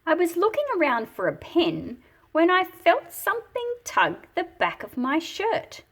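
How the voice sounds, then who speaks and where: A woman reading a storybook aloud and explaining it as she goes, in an expressive, deliberate manner with a slightly husky, breathy quality. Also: extremely high pitch. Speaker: picture book read-aloud host. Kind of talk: storybook read-aloud with teaching commentary.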